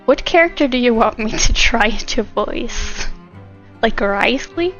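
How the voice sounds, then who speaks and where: A woman's voice: a little high, expressive, unhurried, a bit bright. A woman chatting casually and a little hesitantly while livestreaming a game, on a dull lo-fi microphone. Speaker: game streamer. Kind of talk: casual livestream chat over gameplay. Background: faint game music.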